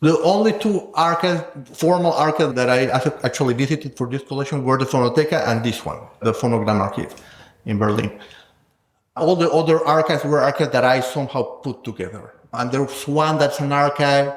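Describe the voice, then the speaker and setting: A man's voice: mid-range voice, moderate pace. A man, a guest scholar, talking through ideas in an academic interview, thinking aloud and often ending points with a questioning "no?". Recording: roomy echo.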